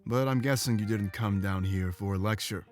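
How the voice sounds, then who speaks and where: A man's voice: on the low side, normal speed, natural voice. A man voicing a devoted, frank warrior beast-man who is eager for combat in a visual novel game. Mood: earnest. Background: game music.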